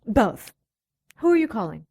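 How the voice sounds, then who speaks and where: A woman's voice: fairly high pitch, very animated, a little slow, creaky, dark tone, breathy edge, exaggerated voice. A woman playing a detective's confident, teasing partner in an audio drama.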